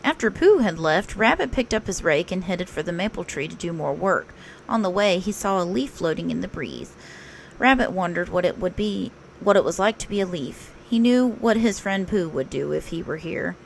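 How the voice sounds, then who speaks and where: A woman narrating a children's story aloud in an expressive read-aloud style, like a teacher. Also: lowish voice, moderate pace, gravelly, slight background noise, rough recording.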